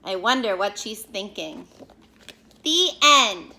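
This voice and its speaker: A woman teacher doing an expressive read-aloud for young children, speaking to them directly and explaining as she goes. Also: medium pitch, takes it slowly. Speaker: elementary school teacher reading aloud to pupils.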